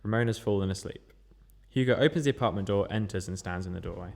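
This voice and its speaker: Brisk low-voiced man reading stage directions. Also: soft background music. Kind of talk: scripted narration, table read.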